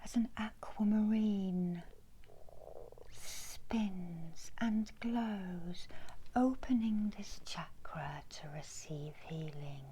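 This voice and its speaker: Very slow whispering woman, guided meditation. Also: mid-range voice, poor audio. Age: middle-aged. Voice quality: breathy, unvoiced whisper. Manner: slow, hushed, measured with long pauses. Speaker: reiki healer guiding a meditation. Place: small indoor room. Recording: clear background noise and ambience.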